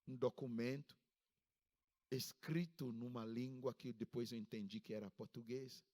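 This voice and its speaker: A Ghanaian-accented male pastor in his fifties delivers a sermon at a church service. His voice sits at a medium pitch for a man, and it jumps sharply upward whenever he stresses a point. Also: a little mellow. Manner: emphatic preaching with sudden high-pitched rises.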